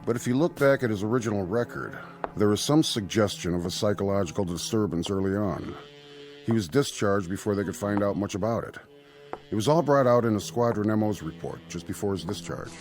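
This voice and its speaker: An actor playing a concerned, businesslike American hospital doctor in a radio drama, explanatory and reassuring in manner. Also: male voice, fairly low pitch, lively intonation, a little fast, darkish tone, lightly acted. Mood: explanatory, grave. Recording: studio radio drama with faint music.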